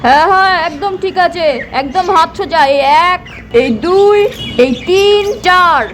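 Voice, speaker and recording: A woman's voice: very high voice, unhurried, a bit bright, slight background noise. A woman voicing a bossy, chatty village farmer husband in a children's doll cartoon. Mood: bossy, urging. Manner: instructing, chatty, repetitive urging. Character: village farmer husband, bossy, fitness-proud, fond of exercise. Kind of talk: acted children's doll-play cartoon dialogue.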